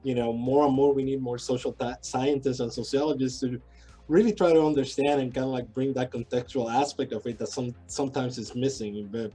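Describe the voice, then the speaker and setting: A man's voice: medium pitch, fairly even intonation, fairly quick, mellow, faint music behind. A middle-aged man from Puerto Rico, a sociology professor talking as a guest in a casual interview, thoughtful and hesitant with many restarts.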